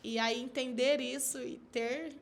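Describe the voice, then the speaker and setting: A woman with a Minas Gerais accent hosting a casual podcast chat, lively and expressive. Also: fairly high pitch, unhurried, gravelly. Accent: Minas Gerais Brazilian Portuguese. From born in São Paulo, raised in Minas Gerais. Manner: lively, expressive, playful asides. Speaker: podcast host.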